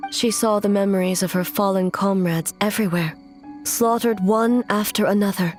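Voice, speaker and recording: A woman's voice: medium pitch, lightly acted. Solemn, resolute woman playing a female shogun, music behind. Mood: solemn, grave. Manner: measured, formal, grave. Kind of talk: scripted fantasy game dialogue.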